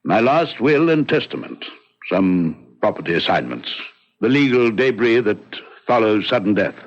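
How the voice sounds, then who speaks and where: A man's voice: fairly low pitch, lively intonation, normal speed. An actor playing a proud, powerful English nobleman in an old radio drama, formal and clipped, brusque and haunted, with an English accent. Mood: grimly composed. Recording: dull, lo-fi vintage radio drama.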